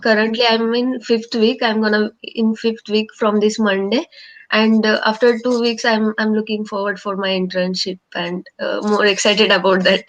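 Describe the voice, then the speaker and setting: A woman's voice: medium pitch, level tone, normal speed. A woman with an Indian accent, a programme participant, speaking hesitantly with many restarts over a video call. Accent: Indian English. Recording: muffled video call audio.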